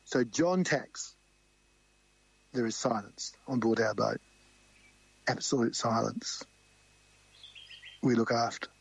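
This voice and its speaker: A man with a loud voice, telling a vivid race story in a radio interview. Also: medium pitch, slow, gravelly, long pauses, slight background noise. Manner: animated, vivid storytelling with quoted crew talk. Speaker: sailing crew member guest.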